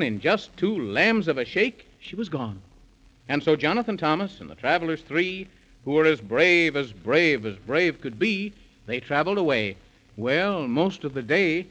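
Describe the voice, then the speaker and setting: Male 1930s radio storyteller: fairly high voice, theatrical rhyming singsong, dull lo-fi recording. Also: fairly bright tone. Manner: theatrical, singsong verse delivery. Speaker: narrator.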